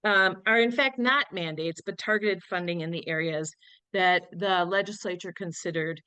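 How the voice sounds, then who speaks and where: A woman's voice: on the low side, bright, forceful tone, smooth, no room sound, low-quality recording. Sniffly woman candidate with a cold. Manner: firm, earnest and measured. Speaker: candidate for state senate. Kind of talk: answering questions at a candidate forum.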